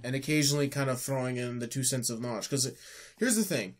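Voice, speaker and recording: A man's voice: mid-range voice, fast talker, a little hiss or hum. A man with a stuffed-up nose, co-hosting a casual gaming podcast and rambling with many fillers and restarts. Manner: rambling, filler-heavy, self-correcting. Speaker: podcast co-host. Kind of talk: casual chat.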